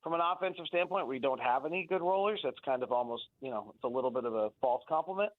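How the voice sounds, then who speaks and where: A man's voice: medium pitch, expressive, quick pace, no echo. A man giving conversational basketball analysis as a guest on sports radio, talking analytically and repeating words for emphasis. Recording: thin phone-like band-limited line.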